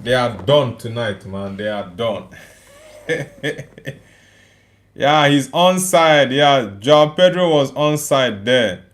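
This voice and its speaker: A man's voice: medium pitch, animated, takes it slowly, long pauses. A young man from Nigeria hosting a live football watchalong, reacting to the match and reading out viewer comments in a casual, repetitive way. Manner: casual, repeats phrases, chats with viewers. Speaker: watchalong streamer.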